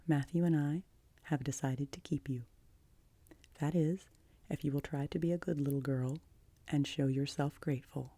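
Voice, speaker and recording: A woman reads as a stern, practical older woman from a storybook. The voice is low and slightly husky, firm and disapproving, with curt, matter-of-fact delivery. It sounds dry and close. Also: steady tone, moderate pace, pauses often, dark tone, no echo. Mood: grudging. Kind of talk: audiobook character voice.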